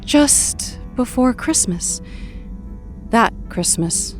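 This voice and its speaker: A woman playing a bewildered young mutant woman just woken from a coma, speaking haltingly in an audio drama. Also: on the high side, animated, soft background music. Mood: confused, troubled. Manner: halting, dazed delivery.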